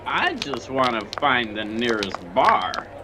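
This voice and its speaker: A man's voice: mid-range voice, very animated, slow pace, background noise. A man acting a drunken, self-pitying, whiny broadcaster in 1940s-style radio comedy.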